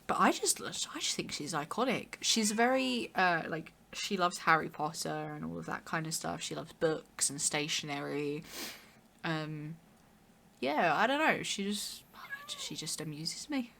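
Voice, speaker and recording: A young woman with a hoarse, raspy voice, chatting casually and rambling while streaming a game. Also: sits low, animated, measured pace, bright, forceful tone, slight background noise. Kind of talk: casual chat while gaming.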